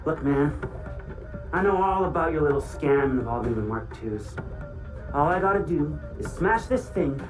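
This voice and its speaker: An actor playing a mocking, manic blackmailer in a video game, taunting in a drawn-out sing-song. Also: medium pitch, lively intonation, close to an everyday voice, rough recording. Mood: gleeful, mocking. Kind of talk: dramatic game cutscene acting. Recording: music behind the voice.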